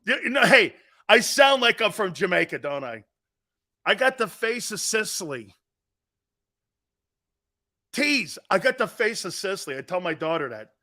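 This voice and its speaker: A man's voice: high voice, very animated, normal speed, long pauses, pushed and bright. A middle-aged man hosting a live sports talk show, joking and rambling, laughing often as he talks. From Italian-American family.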